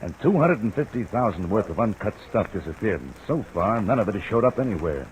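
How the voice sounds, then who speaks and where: A man's voice: lowish voice, quick pace, rough recording. An actor playing a hesitant, folksy, down-on-his-luck private detective in an old-time radio drama. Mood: chatty, confiding. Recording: old radio recording, steady hiss.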